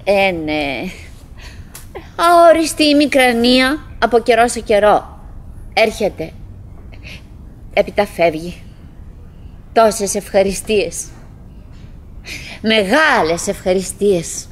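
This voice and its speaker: An actress playing a cheerful, fretful middle-aged wife who muses to herself in fragments and sighs in a live stage monologue. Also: female voice, mid-range voice, expressive, long pauses, natural voice. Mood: forced gratitude. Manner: fragmented musing with sighing exclamations. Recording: noisy 1979 live theatre recording.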